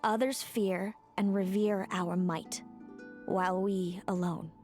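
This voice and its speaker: A woman's voice: mid-range voice, steady tone, slow pace, a little mellow, faint music behind, natural voice. A woman playing a guarded, world-weary fae seer in a video game, cool, dry and ominous. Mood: solemn, poetic.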